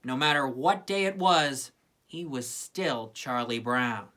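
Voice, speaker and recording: A woman's voice: a bass voice, animated, slow pace, fairly bright tone. A woman reading a children's story aloud with plenty of expression. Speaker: storybook reader.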